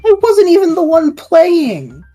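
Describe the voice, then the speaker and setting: A woman's voice: extremely high pitch, very animated, measured pace, warm tone, soft background music, full character voice. A woman playing a bemused, anxious adventurer friend in an audio drama, incredulous and hesitant. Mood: puzzled.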